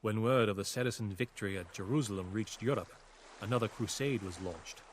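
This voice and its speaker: A man playing a reflective Norman crusader knight, narrating a video game campaign in a measured, grave, formal way. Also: on the low side, slight background noise, natural voice. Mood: grave, urgent. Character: captured Norman crusader narrating his years with Saladin, reflective, solemn. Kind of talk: scripted dramatic storytelling narration. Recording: voice over a clear music bed. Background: game cutscene music.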